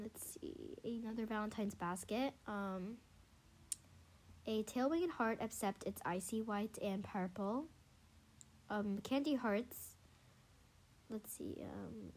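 A young woman with a congested, stuffy voice, casually commenting on her gameplay, chatty and excitable with many fillers. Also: medium pitch. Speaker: gaming channel host. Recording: faint music behind. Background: faint game music.

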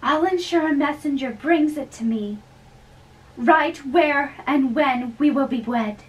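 High-voiced young woman reading drama, distant mic. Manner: theatrical, halting as she reads. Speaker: amateur performer. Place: small bedroom, indoors. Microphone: camera a few metres away. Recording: distant mic with background hiss.

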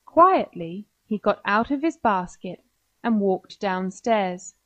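A woman's voice: mid-range voice, expressive, slow pace, a bit bright, dry recording. A woman with an American accent narrating a scripted children's story.

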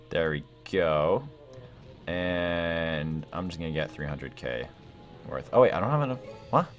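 Animated young man gaming. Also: low-pitched. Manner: excitable, slangy, thinking aloud. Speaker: gaming video host. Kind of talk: casual gameplay commentary.

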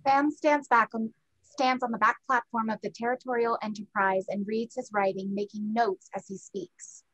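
A woman's voice: a little high, fairly even intonation, moderate pace, a bit bright, rough recording. A woman narrating scripted stage directions for a play reading over a video call.

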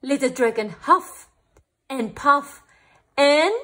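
A woman storyteller reading a picture book aloud for children, with animated, deliberate phrasing. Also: high voice.

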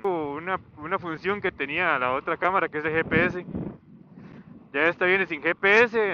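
A man with a fairly high-pitched voice talks off the cuff as he rides. He rambles and hesitates, circling back over his words. The helmet intercom mic sounds dull, with faint vehicle noise behind him. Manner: rambling, hesitant, repeating himself. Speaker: motorcycle vlogger. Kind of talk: casual unscripted chat while riding. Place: outdoors, riding a motorcycle on a highway. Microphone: helmet intercom microphone. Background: faint motor and road noise.

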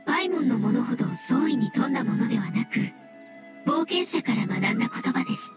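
A gentle game creature, a floating fungus spirit acted by a woman, speaks in a high, soft voice. The delivery is slow, polite and solemn, with breathy touches, over loud music. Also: expressive, lightly acted. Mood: earnest, polite. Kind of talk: scripted video game dialogue.

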